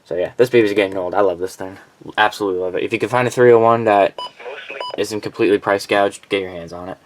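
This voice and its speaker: A man's voice: lowish voice, fairly even intonation, fast, slight background noise, rough recording. A man casually and informally presenting his collection in a rambling, unscripted vlog.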